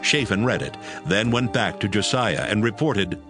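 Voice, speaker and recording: A man with a fairly low voice narrates scripture in a measured storytelling style. His intonation is lively, and music plays clearly behind him. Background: prominent music.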